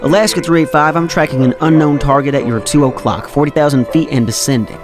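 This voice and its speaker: A fairly high-voiced male air traffic controller, played by an actor in an audio drama. He is calm and procedural with a dry, exasperated edge. Music plays clearly underneath. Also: fast talker, close to an everyday voice. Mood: focused, concerned.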